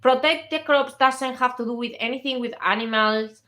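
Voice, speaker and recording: A woman from Spain with a Spanish accent argues her point in a fairly high, bright voice. She is insistent and keeps cutting in on the other speaker, laughing now and then. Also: moderate pace. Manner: insistent, interrupting, laughs often. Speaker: vegan activist host. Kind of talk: heated debate.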